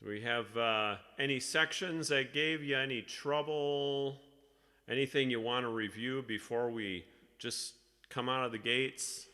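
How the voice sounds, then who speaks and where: A man leading a choir rehearsal in a casual, halting, self-deprecating way, with a slightly crackly voice. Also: mid-range voice, pushed and bright, long reverb tail. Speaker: choir director. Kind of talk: casual rehearsal instruction over a video call.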